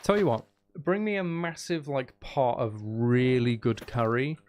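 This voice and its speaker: A man's voice: mid-range voice, a little slow, darkish tone, slight background noise. A man chatting casually as he hosts a gameplay livestream, teasing his viewers with playful mock threats. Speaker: streamer, host.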